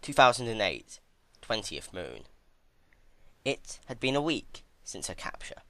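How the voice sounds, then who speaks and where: A man giving a dramatic scripted narration of a dark fantasy story. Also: mid-range voice, very animated, unhurried, no echo.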